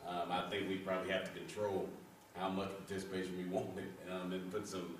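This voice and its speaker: A man on a school board speaking earnestly in a meeting, with many pauses and ums. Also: deep voice, level tone, a little hiss or hum. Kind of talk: meeting discussion. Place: large meeting room. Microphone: far camera. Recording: echoey room from far off.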